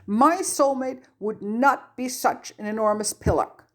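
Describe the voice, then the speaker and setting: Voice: mid-range voice, measured pace, a bit bright, voice pushed up, natural voice. A narrator voicing a furious, tearful teenage witch girl full of indignant outrage in an audiobook story.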